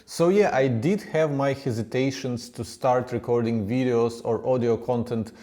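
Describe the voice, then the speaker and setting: A man with a strong Ukrainian accent speaks straight to the camera in English, his second language. His voice is dark and mellow, and his manner is plain and self-deprecating, offering encouraging advice. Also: medium pitch, moderate pace. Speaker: company founder sharing advice.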